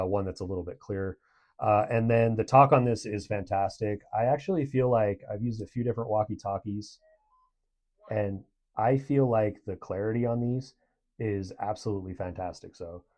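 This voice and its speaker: A man's voice: lowish voice, a little mellow. A man giving a casual product review in a conversational, approving way.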